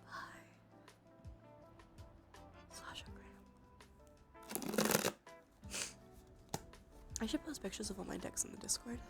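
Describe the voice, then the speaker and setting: A woman livestream host speaking in a soft, breathy, often whispered voice, murmuring casually and unhurriedly to viewers in an ASMR tarot style. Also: mid-range voice, lively intonation, takes it slowly, pauses often, over music. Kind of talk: ASMR tarot reading stream.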